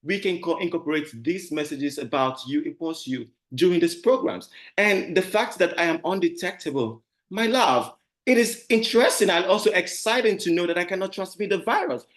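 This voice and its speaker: A man speaking as a webinar panelist over a video call, hesitant, pausing on fillers and correcting himself. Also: high voice, animated, a bit bright. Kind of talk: online panel talk.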